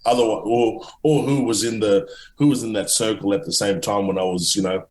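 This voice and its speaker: A middle-aged man answering interview questions at length, halting, with many restarts and fillers. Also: on the low side, fairly even intonation, a little mellow, roomy sound. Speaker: author and guest.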